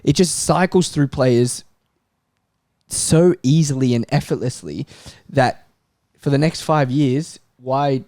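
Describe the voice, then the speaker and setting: A man talks football in a casual panel style. His voice sits at a middling pitch with a soft, dark tone, recorded dry and close. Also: takes it slowly, no echo.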